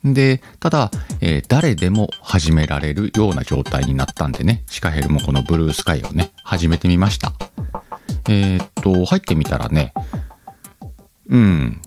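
A man with a very deep voice hosts a casual solo podcast. His delivery rises and falls in a lively way as he thinks aloud and talks straight to the listeners, with soft music underneath. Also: normal speed. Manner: conversational, thinks aloud, addresses listeners directly. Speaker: podcast host.

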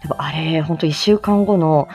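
A woman's voice: low-pitched, normal speed, a little mellow, faint music behind. A woman chatting casually on a morning livestream in a polite, giggly, talkative way. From Japan, outside Tokyo. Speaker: livestream host, amateur voice drama performer.

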